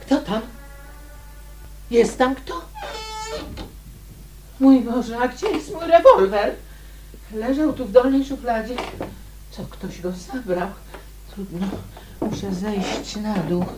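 An actress playing a shrewd, defiant lady amateur sleuth in a radio drama, with a deliberate, theatrical delivery. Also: a little high, animated, over music. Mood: anxious.

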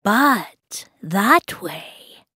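A woman voicing a calm female lynx, a wise wanderer of the wilderness in a children's story, with a slow, purring delivery. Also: medium pitch, husky, no echo, studio quality, exaggerated voice. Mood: helpful, unhurried.

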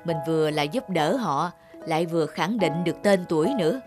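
A woman's voice: low voice, a little fast, music in the background, lightly acted. A woman voicing a caring, fussing middle-aged mother who advises her grown child in a cartoon. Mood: motherly concern. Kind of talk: cartoon dub acting.

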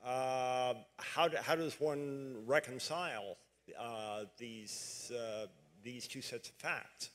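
Male audience questioner, mid-range voice, slow, formal, full of hesitations. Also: mid-range voice, fairly bright tone. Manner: hesitant, pause-filled, formal. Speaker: audience questioner, law alumnus. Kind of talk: question from the floor.

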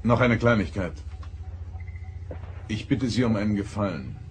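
Starship captain, male actor, reserved authority, lower register, sci-fi radio play. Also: a little hiss or hum, close to an everyday voice. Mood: stern, probing. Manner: formal, stern, measured. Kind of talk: German audio drama acting.

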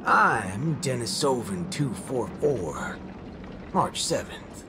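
A man voicing a gruff, cocky cowboy gunslinger in a video game, with a swaggering drawl. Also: medium pitch, sing-song, slow, music in the background. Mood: confident.

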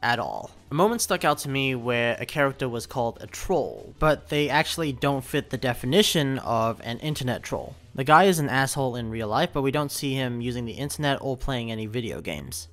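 Young man giving an animated critique. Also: medium pitch. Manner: animated, incredulous, with laughing asides. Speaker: YouTube commentator. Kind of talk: opinionated video review voice-over. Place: voice-over over gameplay footage.

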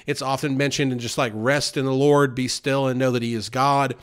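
A man's voice: medium pitch, level tone, brisk, clean tone. A man preaching an informal sermon in an earnest way, with many fillers and restarts.

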